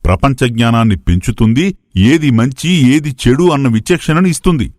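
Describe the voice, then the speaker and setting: A man's voice: mid-range voice, expressive, moderate pace, a little mellow, dry recording, clean studio sound, natural voice. A man playing a wise, patient elderly adviser in a moral story, speaking in a didactic, reassuring way. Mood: patient advising.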